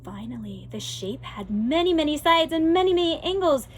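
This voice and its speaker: A woman reading a children's storybook aloud expressively, with deliberate, emphatic phrasing, like a teacher for pupils. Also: high voice, sing-song, a little hiss or hum.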